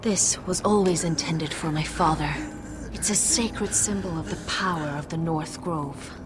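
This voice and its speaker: An actress playing a commanding woman leader of a forest stronghold, calm and persuasive as she urges. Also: sits low, a little slow. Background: music.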